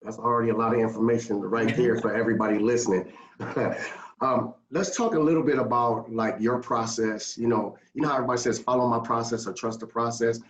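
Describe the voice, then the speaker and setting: A man co-hosting a casual interview, asking rambling, halting questions. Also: medium pitch, fairly even intonation. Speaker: podcast co-host. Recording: echoey, distant from the mic.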